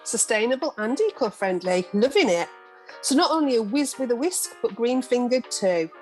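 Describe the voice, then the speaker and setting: A woman's voice: fairly high pitch, animated, normal speed, soft background music, lightly acted, low-quality recording. A woman playing a breezy, bawdy middle-aged journalist in an amateur radio play, recorded over a video call.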